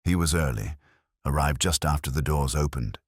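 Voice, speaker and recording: A man voicing a wary, polite bank manager of sixty in a measured, formal manner. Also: very deep voice, slightly rough, dry recording, studio quality. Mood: recollecting.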